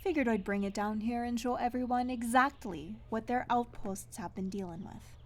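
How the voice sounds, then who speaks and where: Woman as a higher-pitched, defiant young heroine. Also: normal speed, lightly acted. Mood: eager, determined. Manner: wry, determined, casual. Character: defiant, sardonic blacksmith's daughter, stubborn young troublemaker. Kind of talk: fantasy audio roleplay acting.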